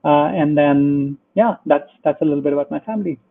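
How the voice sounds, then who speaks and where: A man's voice: on the high side, brisk, gravelly. A man from India, a guest in a remote interview, speaking in a friendly way with frequent hesitations and fillers. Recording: tinny phone-like line.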